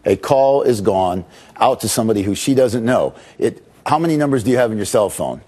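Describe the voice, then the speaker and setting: Low, very bright-less, mellow male lawyer. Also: expressive, fairly quick. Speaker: lawyer speaking to press. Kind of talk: spontaneous press statement.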